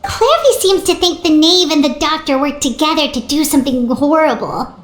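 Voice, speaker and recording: A tiny, excitable fairy companion in a game cutscene, speaking in a squeaky, childlike pitch that leaps high and low. She refers to herself by name and frets over what comes next, and the game mix around her is roomy. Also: normal speed. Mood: worried. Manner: talks about herself in third person, anxious.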